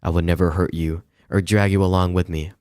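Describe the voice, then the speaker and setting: A tender male lover from a visual novel, voiced by a man reading along. His voice is low and dry. He speaks earnestly and with sorrow, as in a farewell letter. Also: flat delivery, fairly quick, a little mellow, clean tone, no echo, lightly acted. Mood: sorrowful, loving.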